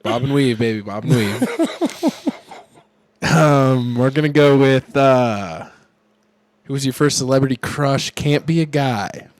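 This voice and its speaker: A man hosting a laid-back podcast chat. He teases and jokes with his guest and laughs often. His voice sits at an ordinary pitch. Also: expressive, slow, long pauses, dry recording. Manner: joking, teasing, laughs often. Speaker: podcast host. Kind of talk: casual chat.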